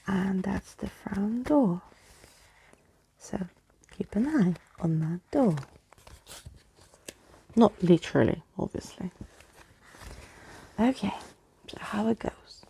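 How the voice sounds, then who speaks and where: A woman reading a story aloud in a soft, hushed ASMR style, with a breathy, crackly voice. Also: mid-range voice, expressive, slow, long pauses. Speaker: storyteller, ASMR video host.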